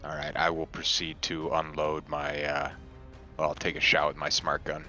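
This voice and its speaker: Very deep-voiced laid-back male player. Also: monotone, normal speed, pushed and bright, dull audio, faint music behind. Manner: laid-back, hesitant, swears casually. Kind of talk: casual tabletop game chat.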